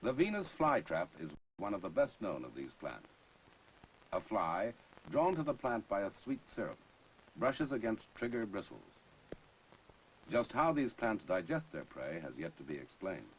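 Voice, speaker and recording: Low-voiced, dramatic 1960s film narrator, man. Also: very animated, a little slow. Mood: grave, ominous. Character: grave male science documentary narrator in a sci-fi film. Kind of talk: 1960s film narration, documentary-like scripted delivery. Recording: noisy early 1960s film soundtrack.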